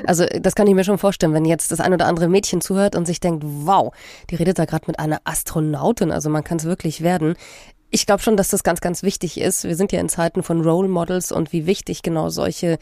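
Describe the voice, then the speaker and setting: A woman's voice: on the low side, fairly even intonation, brisk, warm tone, no echo, clean studio sound. A woman interviewing a guest on the radio, asking long, layered questions.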